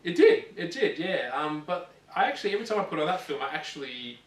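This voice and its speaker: A man chatting casually as co-host of a gaming show, often halting and repeating himself as he thinks. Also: a little high, sing-song. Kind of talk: casual chat about films and games. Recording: roomy, distant mic with faint noise.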